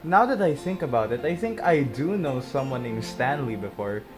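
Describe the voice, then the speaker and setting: Low-pitched woman doing game commentary. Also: expressive, moderate pace, over music. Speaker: gameplay video host. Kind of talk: casual reactive game commentary.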